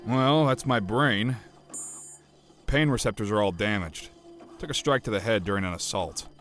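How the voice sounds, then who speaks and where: Man acting a flippant low-voiced battle-hardened soldier. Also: moderate pace, fairly bright tone, close to an everyday voice. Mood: nonchalant. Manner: flippant, nonchalant. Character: cocky battle-hardened guardsman who feels no pain. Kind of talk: audio drama acting.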